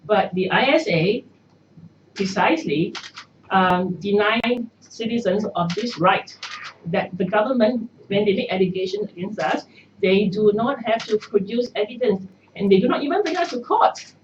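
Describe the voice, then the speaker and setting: A middle-aged woman, a native Cantonese speaker, answering press questions as a party candidate in a measured way with hesitations. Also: mid-range voice. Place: party office press room. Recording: echoey room, far mic, dull noisy.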